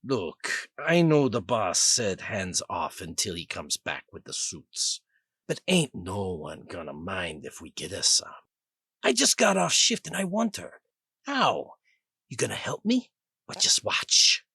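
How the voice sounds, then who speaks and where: A man voicing a greasy, leering older male guard in an audiobook, with a slow, lowered drawl. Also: sing-song, gravelly, sharp tone, breathy edge, no echo, exaggerated voice. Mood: menacing.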